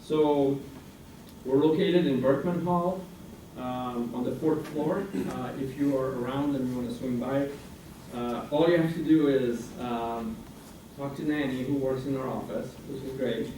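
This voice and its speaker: A man's voice: mid-range voice, measured pace, mellow, far from the mic. Man speaking from afar in an echoing hall. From Iranian American, raised in France. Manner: hesitant, many fillers and restarts. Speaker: guest speaker, international center director. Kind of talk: informal presentation to a student senate. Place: university meeting room. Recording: roomy hall recording from afar, noisy.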